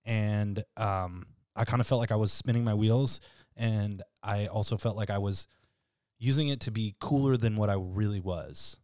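A man in his thirties with a deep voice, hosting a casual podcast chat in a relaxed, freely swearing way. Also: moderate pace, no echo, clean studio sound. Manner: laid-back, swears freely, laughs often. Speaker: podcast host.

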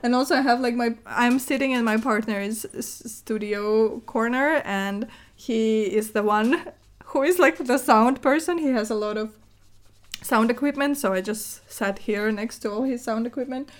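Young woman from northern russia, slightly high voice, rambly casual podcast chat, tons of "like". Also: normal speed. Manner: rambling, many "like" fillers and restarts, laughs often. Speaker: podcast co-host. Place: home studio corner. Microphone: floor mic stand.

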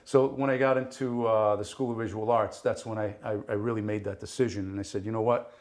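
A man's voice: fairly low pitch, fairly even intonation, quick pace, a little mellow. A middle-aged man, born in Italy with Italian as his first language, talking casually as an interview guest, rambling with many fillers and frequent laughs.

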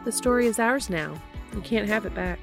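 A woman hosting a podcast, reading fan fiction aloud in a steady, even storytelling manner. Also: mid-range voice, moderate pace, music in the background. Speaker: podcast host and reader.

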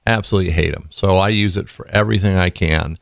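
A man hosting a podcast in a casual, rambling way, his voice raspy and tired from being sick. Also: sits low, quick pace, no echo, clean studio sound. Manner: rambling, folksy, goes off on tangents. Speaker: podcast host.

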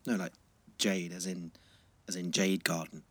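A man playing a sensible, gentle teenage schoolboy, hesitant and understated, in a naturalistic audio drama. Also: sits low, level tone, unhurried, husky, dry recording. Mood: shy, amused.

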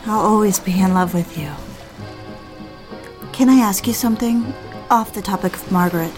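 Romantic audio drama wife, actress: woman, newlywed, medium pitch, earnest, probing, softly pleading, light music underneath. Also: normal speed, lightly acted. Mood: tender.

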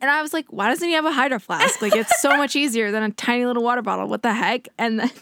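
A young woman co-hosting a podcast in casual chat, talking fast and chattily with lots of fillers and laughs. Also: a little high, fairly bright tone, slight background noise. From United States. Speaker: podcast co-host, makeup artist.

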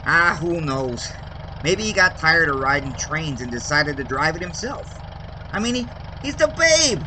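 An actor playing a talkative, folksy 1920s Chicago cab driver in an audio drama, with an American accent and a slangy, rambling way of telling a story. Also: male voice, on the high side, big pitch swings, a little slow, background noise. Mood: excited storytelling. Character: talkative 1920s Chicago cabbie, folksy baseball-loving yarn spinner.

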